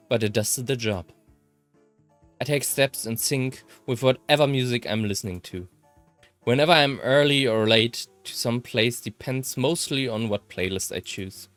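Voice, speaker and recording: A man with a German accent reads a visual novel aloud. His mid-pitched voice moves up and down in a lively, expressive way, with game music playing behind him. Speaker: let's player reading a visual novel aloud. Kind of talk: expressive read-aloud of first-person game text.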